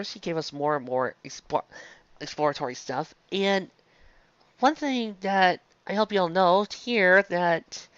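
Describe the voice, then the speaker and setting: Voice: mid-range voice, no echo. Chatty, rambling reviewer, dull lo-fi sound. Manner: digressive, chatty, stumbles and restarts mid-phrase. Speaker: fan fiction reviewer. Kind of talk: casual rambling review monologue. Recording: dull lo-fi close dry recording.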